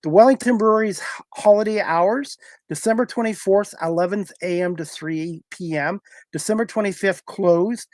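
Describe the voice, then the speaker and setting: Guy hosting a talk show, highish voice, close dry sound, reads out sponsor plugs and trips a bit. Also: normal speed, a little mellow, no room sound. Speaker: talk show host reading sponsor spots. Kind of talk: live sponsor read, conversational.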